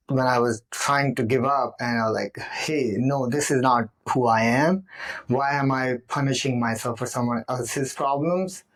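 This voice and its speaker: Halting man, English not his first language. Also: mid-range voice, poor audio. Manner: halting, with many "uh" pauses. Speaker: podcast guest. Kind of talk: casual personal storytelling. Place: home studio, indoors.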